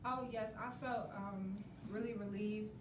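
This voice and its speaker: A woman's voice: mid-range voice, steady tone, measured pace. A woman giving casual interview answers as a cast member, in short, simple sentences. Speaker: stage play cast member being interviewed. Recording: echoey room, distant mic, clear background noise.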